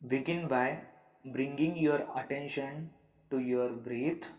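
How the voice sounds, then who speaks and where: A man speaks as a meditation guide in a medium-low, everyday male pitch. His delivery is very slow and soothing, with long pauses between short instructions. The sound is noticeably roomy and echoing. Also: steady tone, darkish tone.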